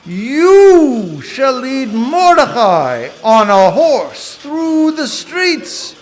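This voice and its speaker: A performer voicing a pompous, excitable puppet king in a children's puppet show, with drawn-out theatrical delivery. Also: sits high, full character voice. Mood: commanding, gleeful.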